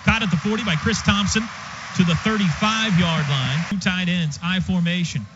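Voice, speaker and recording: High-pitched American man calling football on radio. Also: normal speed. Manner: clipped present-tense phrases, excitable on big plays. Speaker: play-by-play announcer. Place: stadium radio booth. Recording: dull, noisy stadium radio broadcast.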